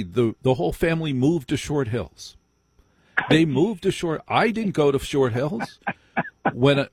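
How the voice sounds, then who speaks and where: A man's voice: mid-range voice, darkish tone, breathy edge, no room sound. An American man hosting a live call-in radio show, speaking emphatically and repeating phrases for effect. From New Jersey, United States. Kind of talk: live talk radio conversation.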